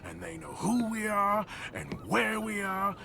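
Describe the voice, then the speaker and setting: An actor playing a weary, blunt outlaw gunslinger in a video game, with a gravelly drawl and a wary manner. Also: male voice, high-pitched, wildly swooping pitch, unhurried, heavily acted. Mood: worried, reproachful. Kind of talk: video game cutscene acting. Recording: music behind.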